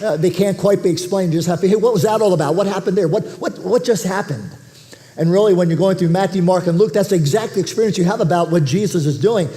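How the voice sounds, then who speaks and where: A man preaching a sermon as pastor, nasal from a head cold, talking in a conversational way with restarts and throat clearing. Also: high voice, mellow. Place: church auditorium.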